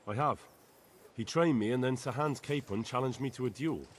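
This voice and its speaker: An actor playing an earnest, dutiful young peasant recruit in a medieval video game. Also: male voice, mid-range voice, moderate pace, natural voice. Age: young adult.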